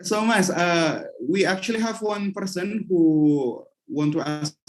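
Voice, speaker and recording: A man's voice: high voice, a little slow. A man from Indonesia with an Indonesian accent, moderating an online webinar, asking questions and giving short acknowledgements over a video call. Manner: brief backchannels, friendly questioning.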